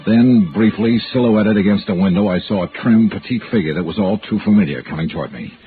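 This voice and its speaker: An actor playing a shrewd, hard-boiled insurance investigator in a vintage radio noir, with wry, clipped, emphatic delivery. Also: male voice, lowish voice, a little fast, close to an everyday voice. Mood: wry narrating. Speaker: lead detective and narrator. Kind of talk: 1950s radio noir narration and acting. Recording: tinny old radio recording, music behind.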